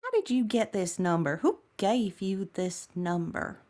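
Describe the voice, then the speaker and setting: A woman's voice: mid-range voice, very animated, unhurried, a little mellow. A woman playing a friendly, chatty, plain-spoken woman on a phone call in a table read. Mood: suspicious. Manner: chatty, encouraging. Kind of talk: table read of a science fiction story.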